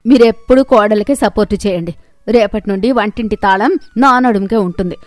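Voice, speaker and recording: A woman voicing a stern, domineering older mother-in-law in a story, scolding loudly and sharply. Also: medium pitch, fairly quick, dry recording, natural voice. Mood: angry scolding.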